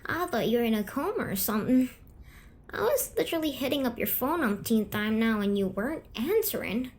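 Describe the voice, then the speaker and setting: A woman's voice: on the high side, big pitch swings, a little fast, darkish tone. A woman playing a worried, caring young girlfriend in an ASMR roleplay, talking in a colloquial, mildly exasperated, fussing way.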